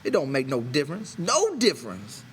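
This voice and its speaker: A man's voice: medium pitch, huge pitch swings, moderate pace, slight background noise, voice pushed up. A man voicing a proud, bitter, lonely stable hand in an audiobook, talking in an insistent, rambling colloquial way. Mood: excited. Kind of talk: character voice in an audiobook reading.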